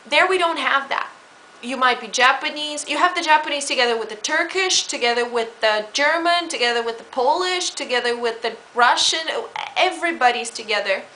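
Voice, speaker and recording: A woman talks casually about her home country, restarting her sentences and filling gaps with "um" and "like." Her voice is bright and sits a little high. A faint background noise runs under the recording. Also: rough recording. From Brazil. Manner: chatty, explanatory, with fillers and restarts. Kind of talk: casual spoken talk.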